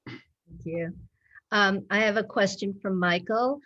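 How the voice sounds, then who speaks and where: A woman's voice: medium pitch, takes it slowly, fairly bright tone, highs cut off. A woman moderating an online panel, reading out audience questions. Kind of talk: moderating a webinar.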